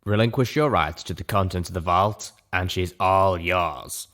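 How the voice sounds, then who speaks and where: Guy doing tough merc lady boss in an audiobook, deep voice, gruff, all business. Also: unhurried, a bit bright, slight background noise. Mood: shrewd, businesslike. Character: tough, shrewd female winged mercenary leader.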